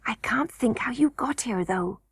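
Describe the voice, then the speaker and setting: A woman voicing a brave, practical young boy miner, hushed, cautious and matter-of-fact, in a children's storybook reading. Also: on the high side, lively intonation, unhurried, husky, no room sound, clean studio sound, full character voice.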